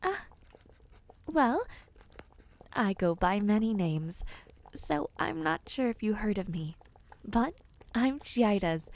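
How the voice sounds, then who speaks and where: A woman voicing a kindly, whimsical witch in an audio roleplay, talking to the listener in a gentle, theatrical, chatty way with airy hums. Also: mid-range voice, big pitch swings, slow, long pauses. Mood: wistful, tender. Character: kindly, whimsical, ancient witch, flustered caring hostess.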